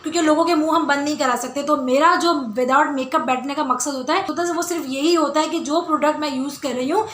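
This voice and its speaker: A woman with a loud voice talking casually to her viewers as a beauty vlogger, emphatic and insistent, repeating phrases for stress. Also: high voice, steady tone, brisk, few pauses. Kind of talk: casual skincare Q&A talk to viewers. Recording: roomy, echoey indoor sound.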